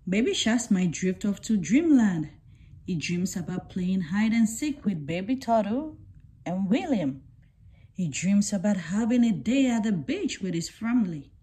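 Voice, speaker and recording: Slow-reading woman telling a children's story. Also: mid-range voice, big pitch swings, mellow, slight background noise. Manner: deliberate, simple storytelling for young children. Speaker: storybook reader. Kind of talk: children's picture book read-aloud.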